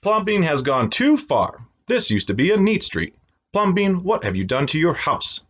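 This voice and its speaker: A man's voice: on the high side, very animated, normal speed, a bit bright, pitched above the performer's own voice. A man voicing indignant, gossipy townsfolk who exclaim and scold in a children's storybook. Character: indignant, scandalized neighborhood townsfolk, fussy and gossipy.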